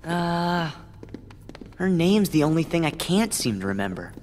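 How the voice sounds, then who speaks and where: A man's voice: fairly high pitch, very animated, measured pace, darkish tone, in a large hall, a little hiss or hum. A man playing an earnest, hot-headed teenage boy hero in a video game cutscene. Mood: puzzled. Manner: earnest, impulsive.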